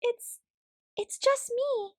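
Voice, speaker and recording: A woman's voice: very high voice, very slow, pitched above the performer's own voice, full character voice. A woman voicing a small, dainty female mouse in a storybook, chatty and easily startled, with a dramatic way of talking. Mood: shy, nervous.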